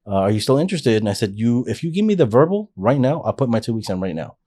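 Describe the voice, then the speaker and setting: A man's voice: medium pitch, very animated, fast talker, mellow, husky. A man in his forties, a podcast guest, telling his life story in an earnest, rambling way with frequent restarts. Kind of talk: casual life-story interview.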